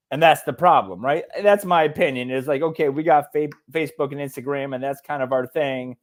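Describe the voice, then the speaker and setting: Blunt, fired-up man ranting on a podcast. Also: fairly high pitch. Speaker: podcast co-host. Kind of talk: casual podcast chat.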